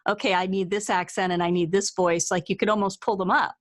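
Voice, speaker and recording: A woman's voice: mid-range voice, steady tone, fast. A woman hosting a casual interview, talking in a chatty, conversational way. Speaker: interview host and author.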